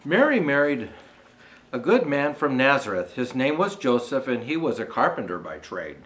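An older man in a Santa role reading a children's storybook aloud, deliberately and with expressive rises on his phrases. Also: medium pitch. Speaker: storyteller dressed as Santa Claus.

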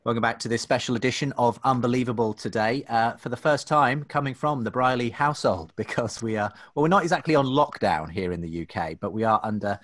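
A man's voice: medium pitch, fairly quick, few pauses, a bit bright. A man in his thirties hosting a podcast from home, chatty and full of fillers and restarts. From England. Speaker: host. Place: home room. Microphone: desk microphone, webcam.

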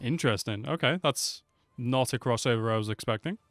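A man's voice: mid-range voice, brisk, a bit bright, soft background music. A man in his twenties giving slangy, offhand reaction commentary into a close desk microphone. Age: 20s. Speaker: reaction video host.

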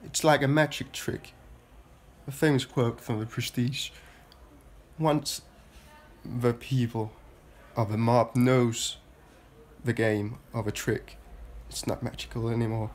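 A man's voice: mid-range voice, fairly even intonation. Stuffy-nosed Danish-accented man musing to camera. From Denmark. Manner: halting, many restarts and filler sounds. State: stuffy nose from a cold. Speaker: vlogger. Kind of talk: rambling personal monologue to camera. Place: small room indoors. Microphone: phone held at arm's length.

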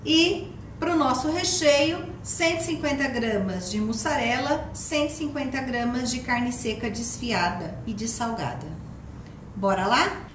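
High-voiced Brazilian woman hosting a cooking demo. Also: unhurried, roomy sound, slight background noise, poor audio. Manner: simple step-by-step explaining, addressing viewers directly. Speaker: cooking channel host. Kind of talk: recipe demonstration. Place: home kitchen, indoors.

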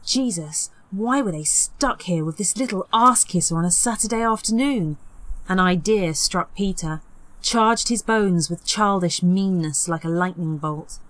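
Female audiobook narrator for horror fiction, lower register, dry studio-like sound. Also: measured pace, a bit bright, no room sound. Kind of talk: scripted horror story narration.

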